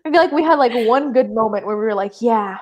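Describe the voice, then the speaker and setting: A woman co-hosting a live show in a casual, animated book chat, emphatic and peppering her sentences with "like". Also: fairly high pitch, fast talker, background noise.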